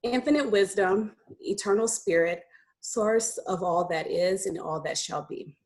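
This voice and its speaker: A woman leads a solemn invocation, reading from prepared words. Her voice has an average pitch for a woman, with a ceremonial, prayerful delivery. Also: measured pace, darkish tone, rough recording. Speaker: invocation speaker. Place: video call, virtual ceremony.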